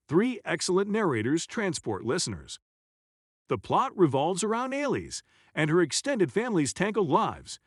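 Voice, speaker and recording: Man, scripted review narration, very lively melody, formal and measured, close dry recording. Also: fairly high pitch, dry recording. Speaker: narrator of an audiobook ranking video.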